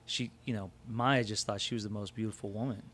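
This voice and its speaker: Man, low voice, supportive conversational co-host, quick affirmations, faint background noise. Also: steady tone, fairly bright tone. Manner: affirming listener, frequent agreement. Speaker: podcast co-host. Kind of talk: conversational discussion.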